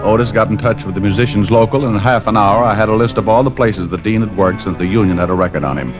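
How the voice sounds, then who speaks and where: A man plays a hardboiled American detective in a low, cynical voice. He speaks in clipped, wry lines, in the style of vintage radio noir. The recording is muffled, with prominent music behind. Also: fast talker, natural voice. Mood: grim, wry. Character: tough, cynical male private detective. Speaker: lead actor and narrator. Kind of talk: 1940s-50s radio drama acting, hardboiled narration.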